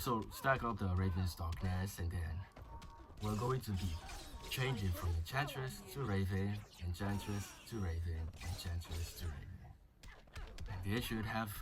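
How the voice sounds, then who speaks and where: Young man, slightly low voice, a bit sick with flu, chatty gameplay commentary, crude jokes. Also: fairly bright tone. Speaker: mobile game YouTuber.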